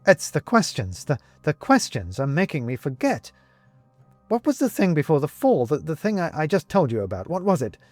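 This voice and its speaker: A man voicing a confused, agitated gentleman who keeps losing his memory, stammering and growing frantic. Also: medium pitch, very animated, moderate pace, dry recording, faint music behind, pitched above the performer's own voice, close to an everyday voice. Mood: panicked. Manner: stammering, flustered, demanding. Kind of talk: audiobook character reading.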